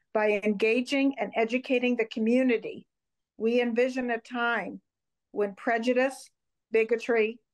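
An older woman from Montreal gives a public presentation by video call from a room at home. Her voice sits fairly high as she speaks as a guest co-founder of an organization. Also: low-quality recording. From Montreal, Canada.

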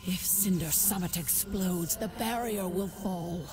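An actor playing an earnest, resolute warrior ally in a fantasy video game, speaking in solemn declarations. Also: male voice, sits high, unhurried, noisy background. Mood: urgent, grave.

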